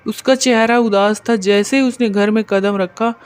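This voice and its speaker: A woman's voice: medium pitch, fairly even intonation, moderate pace, clear, resonant tone. A woman narrating a scripted moral story as the narrator.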